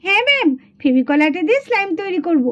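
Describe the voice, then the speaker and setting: A woman voicing a bossy, chatty, excitable schoolgirl doll in a children's puppet cartoon. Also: extremely high pitch, quick pace, exaggerated voice. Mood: excited. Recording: dull lo-fi sound.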